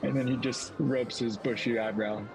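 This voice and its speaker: A man playing a tabletop game, narrating his character in a casual, halting way. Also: medium pitch, fairly even intonation, creaky, over music.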